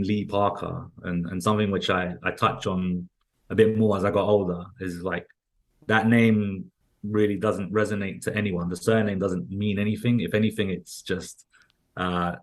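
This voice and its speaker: A man's voice: low voice, flat delivery, normal speed. A man from London with a London accent, talking as an interview guest in a loose, rambling way full of restarts and fillers. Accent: London English. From London, England. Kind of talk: casual interview conversation.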